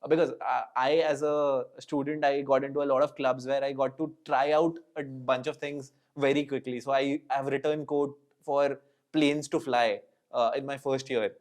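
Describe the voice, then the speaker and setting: A man's voice: mid-range voice, flat delivery, normal speed. A man with an Indian English accent hosting a casual podcast interview, asking long rambling questions and often affirming with "correct". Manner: affirming listener, frequent "correct" and "mm-hmm". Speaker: podcast host.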